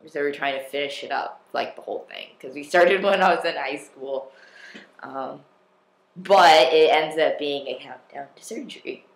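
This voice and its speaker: A man's voice: a little high, moderate pace, vocal fry, echoey room, rough recording. A young man talking casually in a personal vlog, rambling and self-deprecating, with many fillers and restarts. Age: young adult. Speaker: vlogger.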